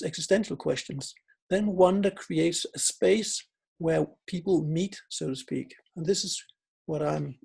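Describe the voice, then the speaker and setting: Gravelly Danish man giving a talk. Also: fairly high pitch, darkish tone. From Denmark. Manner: reflective, searching for words, frequent restarts. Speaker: philosopher and researcher. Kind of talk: conference talk.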